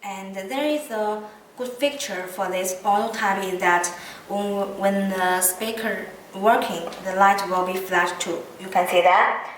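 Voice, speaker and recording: A woman who is not a native English speaker gives an unscripted product demonstration, hesitant and pausing often mid-phrase. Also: medium pitch, fairly even intonation. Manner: halting, hesitant speech with frequent pauses mid-phrase. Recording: roomy echo, distant mic, background noise.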